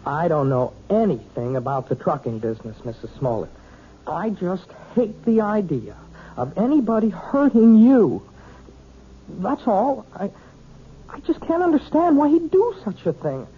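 An earnest, lovesick piano student with a breathy voice that swings widely in pitch, stammering awkwardly. His lines come through a dull old radio broadcast. The delivery is that of 1970s radio drama. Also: sing-song, a little slow, exaggerated voice.

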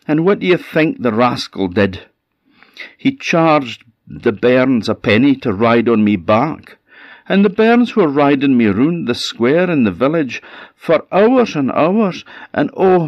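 A man's voice: mid-range voice, dry recording, lo-fi sound, voice pushed up. A man voicing a whining, lying female goat in a folk tale, moaning and self-pitying in a Scottish accent. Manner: moaning, theatrically aggrieved.

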